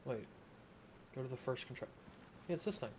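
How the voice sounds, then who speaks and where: A man's voice: mid-range voice, lively intonation, brisk, background noise. A man giving casual gameplay commentary, rambling to himself in a wry, informal way. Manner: rambling, self-talking, wry. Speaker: gamer, streamer. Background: game music.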